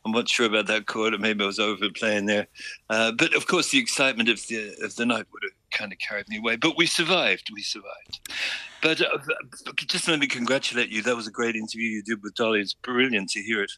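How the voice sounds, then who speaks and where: Bright-voiced Irish man telling stories. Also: lowish voice, fast, no room sound, poor audio. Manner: storytelling, self-deprecating, chuckling. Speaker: musician guest. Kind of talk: phone-in radio chat.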